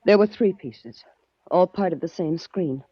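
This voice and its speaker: A fearful, guarded woman in a 1940s radio mystery. Her voice is husky, with pleading, halting phrasing. The sound is dull and vintage, close and dry. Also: fairly low pitch, dry recording. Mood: anxious. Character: anxious, guarded woman, daughter of a murdered man. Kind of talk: 1940s radio drama acting. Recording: muffled 1940s radio recording.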